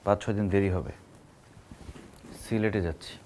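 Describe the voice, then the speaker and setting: An actor with a Bangladeshi accent playing a curt, evasive young husband in a TV drama, answering tersely. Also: male voice, on the low side, normal speed, a little mellow, a little hiss or hum, close to an everyday voice. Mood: cold, curt. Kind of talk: natural TV drama acting.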